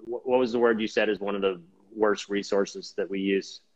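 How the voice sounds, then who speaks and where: A man hosting a casual interview, halting and often rephrasing, on slightly muffled audio. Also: lowish voice, steady tone. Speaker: show host, interviewer.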